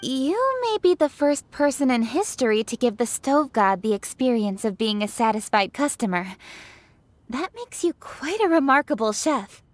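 A woman's voice: high-pitched, sing-song, normal speed. An actress voicing a composed young noblewoman in a video game, polite and formal. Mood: gracious.

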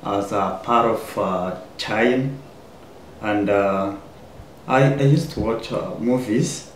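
A man's voice: on the low side, a little hiss or hum. Hesitant young man from Rwanda. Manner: hesitant, many pauses and fillers. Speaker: guest. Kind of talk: casual chat. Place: kitchen dining room, indoors. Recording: echoey room with faint ambience.

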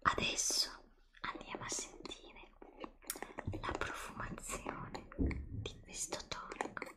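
A middle-aged woman whispering softly and breathily close to the microphone as she presents products in an ASMR style, pausing and repeating words. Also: low-quality recording. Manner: hushed, slow whispering with pauses and repeated words. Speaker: ASMR video creator. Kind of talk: ASMR product show-and-tell. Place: indoors, close-up before a green screen.

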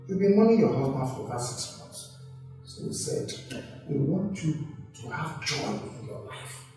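A man acting as a solemn Nigerian family elder in a film drama, speaking deliberately with halting pauses and questioning hums. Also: fairly high pitch, animated, natural voice. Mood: earnest, insistent. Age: middle-aged. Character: solemn Igbo family elder, earnest and insistent. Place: living room. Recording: echoey room, distant mic, faint music.

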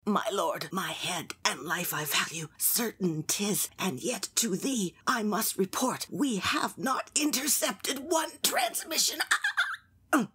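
A woman's voice: medium pitch, big pitch swings, slow pace, bright, forceful tone, slightly rough, heavily acted. A woman playing a desperate but loyal rebel officer being choked, speaking strained archaic verse in a Shakespearean dramatic reading. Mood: strained, pleading.

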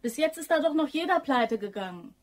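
A woman's voice: sits high, lively intonation, a little fast. An actress playing a hesitant, fond, easily alarmed female bookkeeper in a naturalistic German crime radio drama. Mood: defensive. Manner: naturalistic, questioning, often dropping to a hushed voice.

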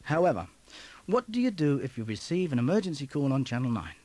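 A man narrating an instructional tape from a script in a clear, emphatic, instructive way. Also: medium pitch, big pitch swings, a little fast, dark tone, no room sound.

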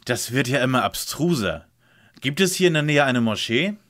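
Sober, mid-pitched lead detective played by a man. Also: expressive, normal speed, a bit bright, natural voice. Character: young male lead detective, sober and formal. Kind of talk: parody detective radio play.

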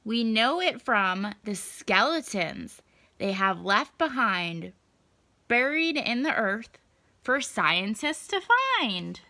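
Bright, animated woman reading to children. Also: a little high, no room sound. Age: young adult. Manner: expressive, deliberate, drawn-out emphasis. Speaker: children's story time reader. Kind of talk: reading a picture book aloud to children. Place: small indoor room at home.

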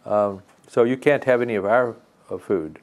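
A man's voice: on the low side, moderate pace, lightly acted. An older man voicing a group of proud, tidy ants in a fairy tale. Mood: prim.

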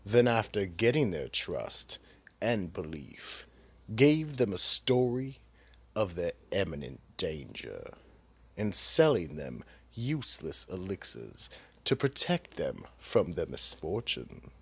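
A man with a gravelly, husky voice narrating a scripted mystery radio drama. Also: on the low side, no echo.

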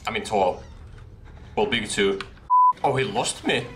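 A young man in a headset commenting casually on a game he is playing, excitable and slangy, laughing often. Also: lowish voice, measured pace, noisy background. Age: young adult. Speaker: gaming streamer. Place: small room at a desk.

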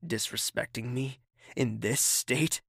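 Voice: mid-range voice, takes it slowly, no echo, clean studio sound. An actor playing a haughty, impatient young royal prince in an audio drama, clipped and condescending. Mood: imperious, irritated.

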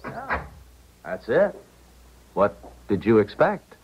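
An actor playing a sober, skeptical university proctor in a 1970s radio drama, measured and matter-of-fact. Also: male voice, on the low side, huge pitch swings, slightly rough, exaggerated voice. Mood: puzzled, skeptical. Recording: muffled 1970s radio recording.

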